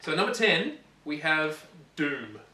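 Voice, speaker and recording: A man co-hosting a casual gaming show, counting down a list in a halting, repetitive, jokey sarcastic way. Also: fairly high pitch, sing-song, bright, forceful tone. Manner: halting, repeats phrases, sarcastic joking. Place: echoey indoor room, voice away from mic. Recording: echoey room, distant mic.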